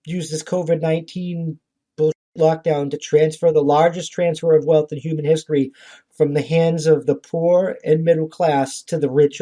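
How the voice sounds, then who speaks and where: A man speaks as a podcast host in a somewhat higher-than-average voice with a relaxed, dark-edged tone. His commentary is informal and political, blunt and full of profanity. He sounds exasperated and indignant as he makes his case.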